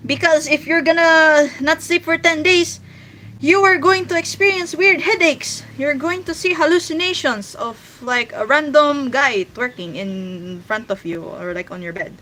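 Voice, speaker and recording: A fairly high, bright Filipino-accented woman chats loosely with her viewers, circling back and restarting her sentences. She talks the way you do while your hands are busy drawing. A faint hiss sits under her voice. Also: a little slow, poor audio. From Philippines. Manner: chatty, rambling with many restarts. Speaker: streamer. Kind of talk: casual livestream chat while drawing.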